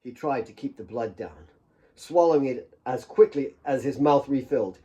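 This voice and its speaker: A man's voice: medium pitch, normal speed, warm tone, highs cut off. A man reading dramatic scripted fiction as an author narrating his own audiobook.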